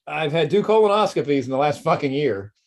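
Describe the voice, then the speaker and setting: A man's voice: fairly high pitch, animated, fairly quick. A man in his forties co-hosting a podcast, blunt and sarcastic, swearing often in casual banter. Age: 40s. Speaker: podcast co-host.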